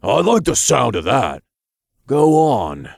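A man voicing a brash male imp who puts on a gruff war general's voice, bossy and slangy. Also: medium pitch, huge pitch swings, slow, no echo, clean studio sound, voice pushed up, full character voice. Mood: blustering. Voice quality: gruff, put-on general's bark.

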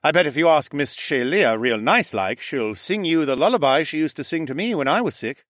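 A man's voice: on the high side, animated, a little fast, a bit bright, no echo, studio quality, voice pushed up. A man voicing a roguish, breezy male falcon man who jokes easily with friends. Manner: breezy, joking.

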